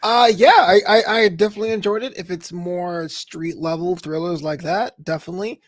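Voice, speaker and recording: A man's voice: high-pitched, steady tone, brisk, no room sound, clean studio sound. A man co-hosting, chatting casually. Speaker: co-host. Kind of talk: casual chat.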